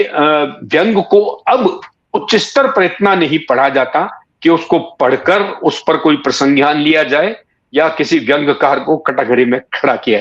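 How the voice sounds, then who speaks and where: A man in his sixties answering in a reflective interview, explaining in literary words and pausing mid-sentence to find the next one. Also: fairly high pitch, fairly bright tone, highs cut off. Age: 60s. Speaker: interview guest, satirist and writer.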